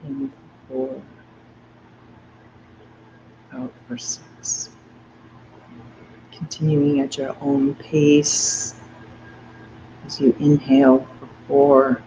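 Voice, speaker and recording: A meditation guide leading a guided breathing meditation in a soft, gentle, unhurried way. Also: mid-range voice, monotone, noisy background. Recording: dull lo-fi recording with faint ambience.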